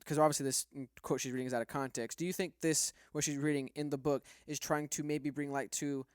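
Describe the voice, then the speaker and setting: A man with a slightly high voice speaks rapidly in a dry, close recording. He hosts a casual panel debate, probing and disputing points as he goes. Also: little pitch movement, clean tone, no echo. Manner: questioning, argumentative. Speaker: podcast panel host. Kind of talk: casual debate on a discussion podcast.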